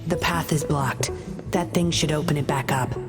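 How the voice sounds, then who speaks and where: Stern undercover agent, female actor: low register, terse, controlled, commanding game character over prominent music. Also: moderate pace, lightly acted. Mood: firm, businesslike. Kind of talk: video game voice acting.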